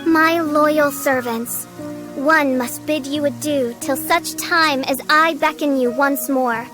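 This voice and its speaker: A woman's voice: high-pitched, slow pace. A woman voicing a theatrical teenage girl who plays the grandiose noble princess in a video game, with dramatic delivery. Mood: grand, solemn. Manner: pompous, archaic, dramatic phrasing. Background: game music.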